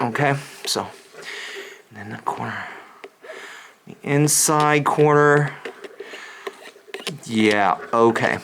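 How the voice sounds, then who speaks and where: A man plays an unsettling, slightly deranged male doctor in an ASMR roleplay. He speaks softly at a medium pitch, halting and repeating himself in a distracted, insistent way. There is some background noise on the recording. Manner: halting, repetitive, distracted muttering. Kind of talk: soft-spoken ASMR roleplay.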